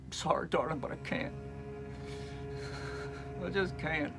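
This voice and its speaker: A man's voice: on the high side, measured pace, lightly acted. An actor playing a stubborn, frightened coal-miner father in a stage play, with an Appalachian accent. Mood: anguished, apologetic. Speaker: actor in a one-act play. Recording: music under the voice.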